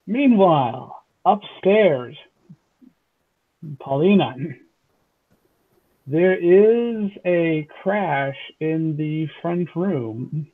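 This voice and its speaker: Slow-talking man running a tabletop game. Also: a little high, expressive. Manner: hesitant, laughing between lines. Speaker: game master. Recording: tinny phone-like call audio.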